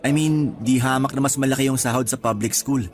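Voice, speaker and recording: A man's voice: mid-range voice, fairly even intonation, brisk, close to an everyday voice. A man playing a helpful, easygoing young teacher in a radio drama, with a Filipino accent and a casual, joking, reassuring manner. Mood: teasing, friendly. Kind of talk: Tagalog radio drama acting.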